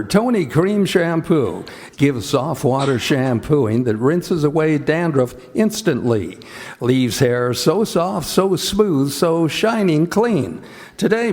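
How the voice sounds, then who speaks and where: A man announcing in the style of 1940s radio, with a measured, deliberate delivery, as the host of a show. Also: on the high side, a little mellow.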